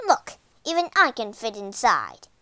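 A woman voicing a sly, greedy, short-tempered old witch in a children's storybook, with a croaky, commanding voice. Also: on the high side, wildly swooping pitch, slow, pushed and bright, no echo, exaggerated voice. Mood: angry, bossy.

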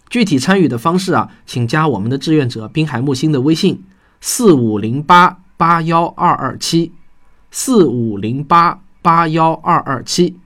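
Expressive man hosting a podcast. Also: a little high. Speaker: podcast host and science fiction writer. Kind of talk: scripted podcast talk to listeners.

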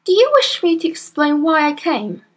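A woman's voice: high-pitched, big pitch swings, moderate pace, voice pushed up. A woman voicing a polite but resolute young schoolteacher. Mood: earnest, firm. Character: firm, earnest young schoolteacher.

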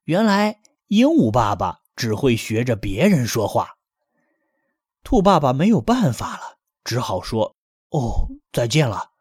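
Husky man telling a lively bedtime story. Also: mid-range voice, measured pace, no room sound, studio quality. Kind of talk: children's bedtime story reading.

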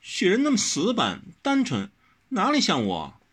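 A man's voice: high-pitched, wildly swooping pitch, moderate pace, fairly bright tone, breathy edge, voice pushed up, heavily acted. A man voicing a headstrong, pouty young swordswoman in an audiobook, whiny and insistent. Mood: pouting. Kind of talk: audiobook character reading.

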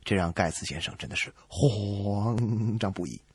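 A man hosting a radio comedy show, telling jokes in a conversational, theatrical storyteller's manner with a rough voice. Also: on the low side, normal speed, a little mellow, no echo. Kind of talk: animated joke telling. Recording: dry, faint music behind.

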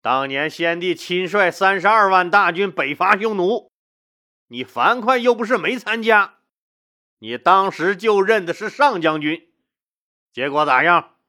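Casting: narrator doing a combative male official of ancient China, forceful, scornful, rhetorical delivery. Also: mid-range voice, expressive, measured pace.

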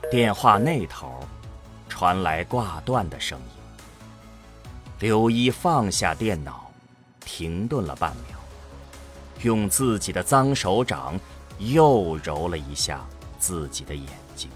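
A man narrating a science fiction radio drama in a dramatic scripted storytelling style. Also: low voice.

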